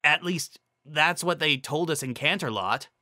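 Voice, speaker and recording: A man voicing a gentle, curious female unicorn pony who speaks earnestly. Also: a little high, lively intonation, unhurried, no echo, studio quality, voice pushed up, exaggerated voice. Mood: explaining.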